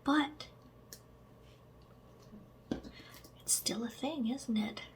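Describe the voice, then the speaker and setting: A woman whispering slow, soft ASMR affirmations straight to the listener, with chatty asides and a gentle sense of humor. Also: on the high side. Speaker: ASMR creator.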